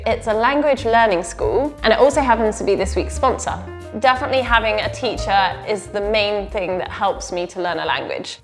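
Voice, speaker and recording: Female host, thirties, from the UK; upbeat, chatty to-camera read at her desk at home. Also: on the high side. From United Kingdom. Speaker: vlog co-host. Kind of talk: sponsor segment to camera. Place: home office indoors.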